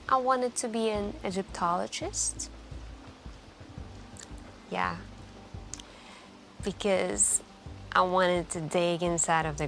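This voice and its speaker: A woman playing a wistful, soft-spoken stranger in a late-night café, confiding hesitantly in an intimate ASMR roleplay. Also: fairly low pitch. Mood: wistful, musing. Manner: hesitant, musing, confiding, with gentle pauses. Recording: continuous quiet background music. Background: quiet background music.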